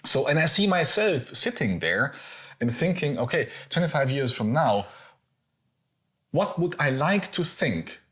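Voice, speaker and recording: A man's voice: a little high, sing-song, unhurried, bright, forceful tone, husky. A German man in his forties, speaking English with a German accent, chatting casually as podcast co-host, hesitant with many fillers and restarts. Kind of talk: casual conversation.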